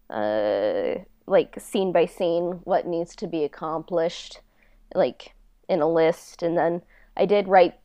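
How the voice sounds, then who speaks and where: Lower-pitched, smooth-voiced woman chatting casually. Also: no echo. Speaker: podcast guest, audio drama writer. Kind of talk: casual chat.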